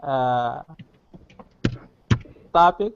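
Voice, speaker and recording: A young man with a Moldovan accent, a student giving short, halting answers in an English lesson. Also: mid-range voice, lively intonation, slight background noise. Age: young adult. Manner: halting, terse. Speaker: university student learning English. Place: online group call. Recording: dull online call audio.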